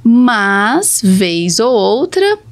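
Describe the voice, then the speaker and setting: A woman's voice: a little high, big pitch swings, drawn-out words. A Brazilian woman, a guest speech therapist, explaining in a conversational, teacherly way with plain everyday words. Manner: didactic, plain everyday wording.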